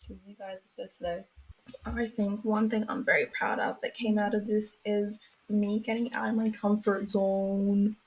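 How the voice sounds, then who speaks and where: Slow-talking giggly young woman. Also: medium pitch, level tone, poor audio. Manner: giggly, drawn-out, slangy. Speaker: podcast co-host. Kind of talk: casual chat.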